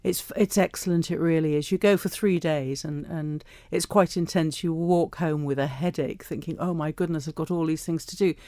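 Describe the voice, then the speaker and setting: Female interviewee, middle-aged, big low-to-mid voice with a soft mellow tone, chatty, close dry studio sound. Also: steady tone, moderate pace, few pauses, no room sound. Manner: chatty, anecdotal. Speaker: studio guest, self-employed tour guide. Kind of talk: conversational interview. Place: radio studio.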